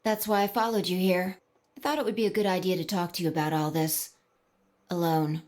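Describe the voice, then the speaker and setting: An actress playing a composed, knowing young woman in a video game, speaking in a measured, matter-of-fact, slightly teasing way. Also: on the low side, fairly even intonation, a little mellow, close to an everyday voice. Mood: earnest, reflective.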